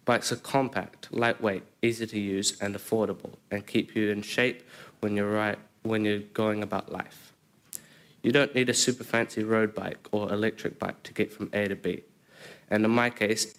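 Guy reading out a written speech, kinda low voice, steady and even. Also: moderate pace. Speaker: community speaker.

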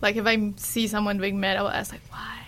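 A woman hosting a podcast interview on community radio, friendly and quick to laugh. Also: medium pitch, level tone, fairly bright tone. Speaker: podcast host.

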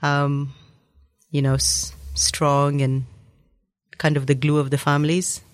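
A woman's voice: sits low, level tone, slow, no echo. Woman, 30s, from Kenya, interview guest, thoughtful storytelling, ums, dry close mic. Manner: warm reflective storytelling with frequent ums. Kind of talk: casual conversational interview.

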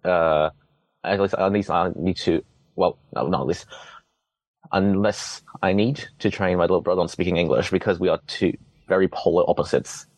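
Young man, Cantonese native from Hong Kong, deep low voice, halting and wry, dry recording. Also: steady tone, darkish tone, no room sound. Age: young adult. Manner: hesitant, many restarts and fillers, wry humor. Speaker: interviewee, student. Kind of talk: casual interview answers.

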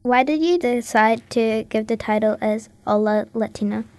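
A woman's voice: on the high side, normal speed, a little mellow. A woman hosting a radio talent show, carefully reading out scripted introductions and prepared interview questions.

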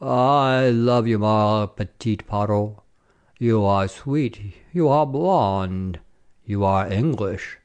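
A man's voice: on the low side, takes it slowly, a little mellow, clear, resonant tone. Man playing a sentimental, tipsy French soldier. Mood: doting.

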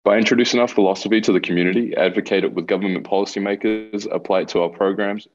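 A young man with a New Zealand accent and a very low voice speaks earnestly over a video call. He works through long prepared lists of points. The sound is dull and lo-fi. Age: 20s. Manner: earnest, listing points, reads prepared remarks. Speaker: guest, youth mentor. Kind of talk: remote interview, explaining his organization.

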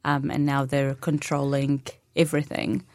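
Palestinian woman, native arabic speaker, young adult, low voice, soft-spoken, many pauses, dry studio sound. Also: level tone, no echo. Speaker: interview guest. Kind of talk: radio interview conversation.

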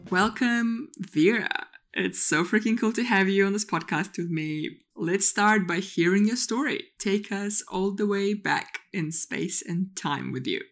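A woman's voice: medium pitch, a little slow. A woman from Kazakhstan hosting a podcast in a casual, conversational interview style. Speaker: podcast host. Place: at home.

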